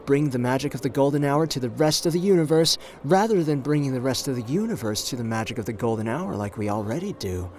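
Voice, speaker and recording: A man's voice: mid-range voice, a little mellow, close to an everyday voice. A man playing a suave, flirtatious, confident gambler in an ASMR roleplay audio drama, teasing and intimate in the way he talks. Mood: playful, confident. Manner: teasing, intimate, drawn-out phrasing with pet names.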